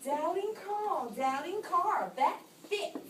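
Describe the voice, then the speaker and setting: A perky, preachy female puppet voiced by a woman in a children's show. The voice is extremely high and slow, with exaggerated earnestness. It sounds far from the microphone in an echoey, noisy room. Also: lively intonation, exaggerated voice. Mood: teasing, scolding. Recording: distant, echoey room with clear noise.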